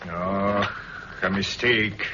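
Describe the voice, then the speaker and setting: An actor playing a sullen, curt armed guard in a 1960s radio drama, muffled old broadcast. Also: male voice, fairly low pitch, fairly even intonation, extremely slow, pushed and bright, slight background noise, exaggerated voice. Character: surly male armed island guard.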